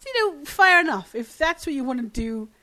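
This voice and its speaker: A woman's voice: high voice, expressive, brisk, pushed and bright, rough recording. A British woman co-hosting a casual comedy podcast, trading dry, sarcastic, self-mocking jokes. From Britain. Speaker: podcast co-host.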